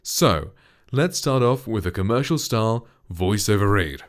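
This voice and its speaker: Deep-voiced man, chatty studio mic review. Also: a little slow. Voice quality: deep, dark voice. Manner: relaxed and chatty, lots of loose filler words. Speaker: voice actor and streamer reviewing a microphone. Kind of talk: casual gear review with voice demos. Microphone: close studio condenser microphone.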